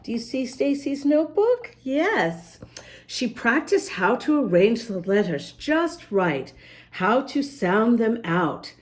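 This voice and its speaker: A woman reading a picture book aloud to young children, talking directly to them and carefully sounding out words. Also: mid-range voice. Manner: animated, addresses young listeners, spells words out letter by letter. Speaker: children's story reader, host.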